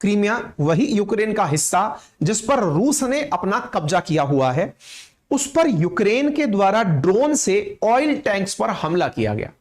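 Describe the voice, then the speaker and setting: A man's voice: high voice, big pitch swings. A man with an Indian accent teaching current affairs in a didactic, explanatory way, often checking that listeners follow. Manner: didactic, pausing to check with "theek hai".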